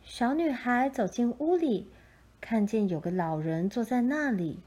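A woman narrating a children's story from a script in a gentle, expressive storytelling manner. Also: on the high side, a little slow.

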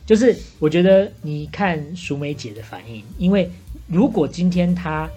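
A woman's voice: lowish voice, animated, moderate pace, dark tone, soft background music. A woman with a Taiwanese Mandarin accent chatting casually as a podcast host, playing a bartender who gives analytical, bantering advice. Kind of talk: casual chat, giving advice.

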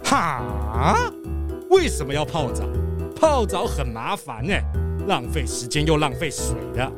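A woman plays a grumpy, careless fox bandit in a children's radio play. The pitch jumps around wildly, with a Taiwanese accent, and music plays behind. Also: big pitch swings, unhurried. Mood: irritated baffled. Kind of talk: children's audio drama acting.

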